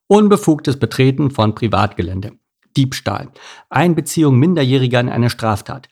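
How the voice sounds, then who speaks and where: A stern mouse police sergeant from a children's storybook, acted out by a male reader. He speaks in a gruff, bureaucratic way, listing things with pedantic care, with a gravelly edge. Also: mid-range voice, expressive, moderate pace, darkish tone, pitched above the performer's own voice. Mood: officious.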